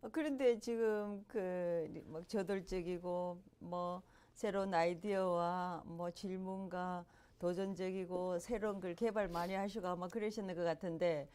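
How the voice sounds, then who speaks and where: A woman's voice: lowish voice, steady tone, a little slow, a little mellow, clean tone. A woman hosting a talk show who interviews her guest politely and keeps giving short, attentive acknowledgments. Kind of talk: interview, frequent backchannel.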